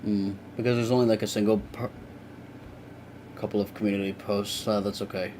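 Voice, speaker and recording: A young man with a lowish voice rambles through a casual live stream, chatting with viewers as he works. He drops the odd curse without fuss. A faint hiss sits under the recording. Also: level tone, a little fast. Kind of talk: casual live stream chat while drawing.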